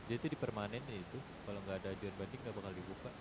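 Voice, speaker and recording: A man chatting casually with fellow gamers over voice chat, matter-of-fact and quick to contradict. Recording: clearly noisy game voice chat, rumble and buzz.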